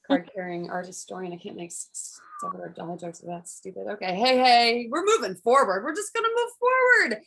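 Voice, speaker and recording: Female emcee, chatty, playfully teasing, a bit higher voice. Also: very animated, a little fast, creaky, hardly any pauses, fairly bright tone, poor audio. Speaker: show host, emcee. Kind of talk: emceeing a comedy open mic.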